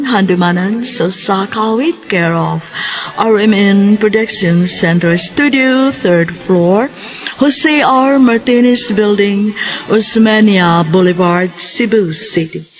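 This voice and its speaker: A woman's voice: medium pitch, low-quality recording. A woman with a Cebuano Filipino accent reading a scripted radio programme announcement.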